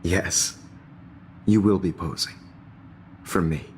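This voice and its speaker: An actor playing a middle-aged American photography teacher in a video game, smug, theatrical and predatory. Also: male voice, sits low, takes it slowly, a little hiss or hum. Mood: creepy, menacing. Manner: theatrical, lecturing.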